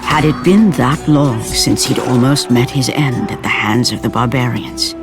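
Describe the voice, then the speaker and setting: A man giving grave, measured, scripted narration for a video game story. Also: mid-range voice. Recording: loud music score behind.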